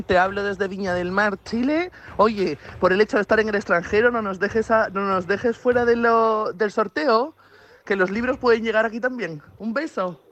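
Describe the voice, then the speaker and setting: A woman leaving a casual, chatty voice message as a radio listener. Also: mid-range voice. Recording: dull lo-fi voice message.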